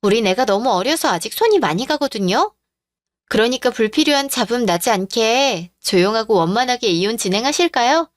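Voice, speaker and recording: Woman doing a smug mistress, high sugary voice, shameless and talking down. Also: animated, brisk, dry recording, studio quality, natural voice. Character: brazen smug young mistress.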